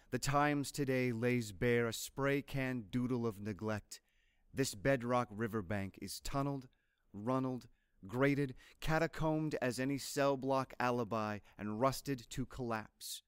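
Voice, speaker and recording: A man reading scripted poetry aloud in a measured, deliberate, literary manner. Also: medium pitch, clear, resonant tone, no echo, studio quality. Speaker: poetry reader.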